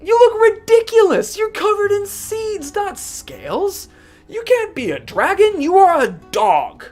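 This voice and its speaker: A storyteller voicing a pack of farm dogs that mock and jeer in high, loud, scornful voices. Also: sing-song, unhurried, faint music behind, exaggerated voice. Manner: jeering, scornful.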